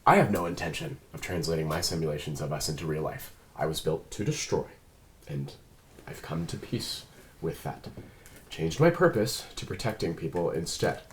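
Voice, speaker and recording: Guy doing a reserved android detective, really low voice, formal and careful, bit of noise behind. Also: lively intonation. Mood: guarded, firm. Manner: measured, formal, precise phrasing. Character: earnest, reserved male android police detective partner. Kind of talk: table read of a drama script.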